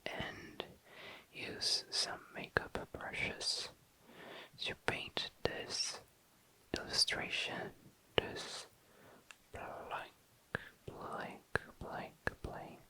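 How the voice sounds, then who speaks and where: Very slow whispering ASMR host. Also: bright, forceful tone, low-quality recording. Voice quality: very low, breathy whisper. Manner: slow and deliberate, lingering on and repeating words. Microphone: close microphone.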